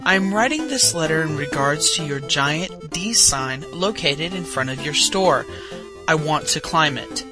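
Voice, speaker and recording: Guy doing an earnest deadpan weirdo reading his letter, comedy bit with music. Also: on the high side, measured pace. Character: earnest oddball man writing letters to businesses.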